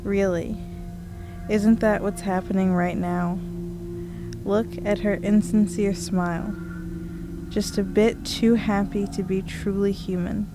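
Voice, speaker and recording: An actress playing a refined, cold female killer who lectures with slow disdain. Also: medium pitch, flat delivery, music in the background, lightly acted. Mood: disdainful.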